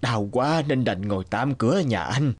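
A man's voice: medium pitch, expressive, moderate pace, natural voice. A man playing a humble, injured old traveller in a cartoon, speaking hesitantly and apologetically, with groans. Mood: pained, humble. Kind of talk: cartoon dubbing.

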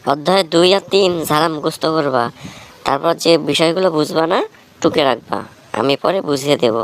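A woman's voice: deep voice, brisk, slight background noise, natural voice. A woman voicing a bossy young village big brother and tutor in a cartoon, lecturing sternly with a Bangladeshi accent. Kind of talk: amateur cartoon dialogue.

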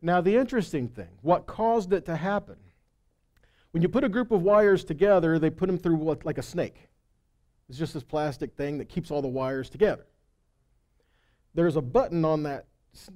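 A male preacher in his forties with a high, soft-edged voice and a mellow tone. He delivers a sermon conversationally, mixing homespun storytelling with scripture, and leans on rhetorical questions for emphasis. He speaks into a headset mic on an indoor stage. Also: moderate pace. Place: indoors on a stage at a congregation hall. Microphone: headset microphone.

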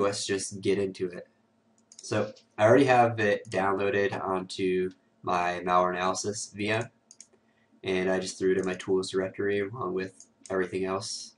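A man with a raspy, cold-roughened voice, sniffling now and then, hosting a casual screen-recorded software tutorial full of "uh" and "um". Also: deep voice, recorded from a distance. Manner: casual, filler-heavy walkthrough with many "uh" and "um". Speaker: host of a security tutorial show.

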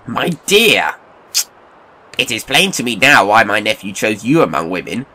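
An actor playing a reformed elderly miser, now a kindly and apologetic gentleman, with formal old-fashioned courtesy in an audio drama. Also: on the low side, big pitch swings, moderate pace, slight background noise, heavily acted. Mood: warm, repentant.

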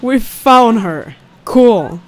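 Slow-paced young woman reacting to a game. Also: a little high, lively intonation. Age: young adult. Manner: reactive, exclamatory, profanity-laced. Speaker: gaming video host. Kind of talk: casual gameplay reaction commentary. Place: indoors at a home recording setup. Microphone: close studio condenser mic. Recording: clear game ambience noise behind voice. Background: horror game ambience.

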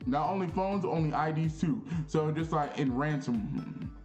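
A young man vlogging in a casual, animated, slangy storytelling way, repeating phrases for emphasis in a small bedroom. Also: on the high side, a little slow, roomy sound, faint music behind. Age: young adult.

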